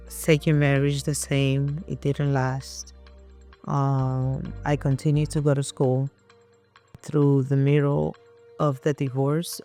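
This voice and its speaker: A middle-aged woman from Puerto Rico with a Spanish accent and Spanish as her first language, telling her life story as an interview guest in a halting, determined way. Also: low voice, a little mellow. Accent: Puerto Rican Spanish.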